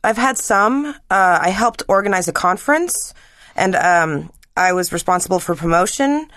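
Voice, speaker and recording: A woman's voice: lowish voice, animated, moderate pace, fairly bright tone, no echo, clean studio sound. A woman playing a polite, hesitant young student applying for volunteer work in a scripted exam listening dialogue. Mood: polite, thoughtful.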